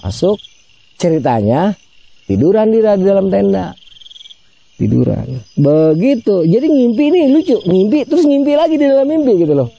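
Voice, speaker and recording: A man tells a ghost story in a relaxed, chatty way. His voice is dark and gravelly, and somewhat husky. Crickets and frogs chirp in the background. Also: sits high, normal speed. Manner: chatty, addresses listener by name, acts out quoted voices. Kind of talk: casual spoken ghost story.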